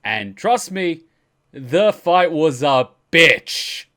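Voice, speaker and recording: A man's voice: on the high side, big pitch swings, extremely slow, a bit bright. Casual, enthusiastic man talking, swearing freely. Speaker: host of a reaction livestream. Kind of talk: casual commentary and chat.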